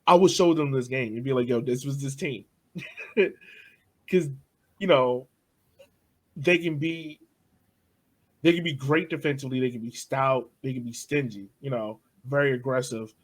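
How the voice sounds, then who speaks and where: A young man co-hosting a casual sports podcast, talking loosely with frequent laughs and soft trailing asides. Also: medium pitch, moderate pace, pauses often. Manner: loose and chatty, laughs often, trails off softly. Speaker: podcast co-host.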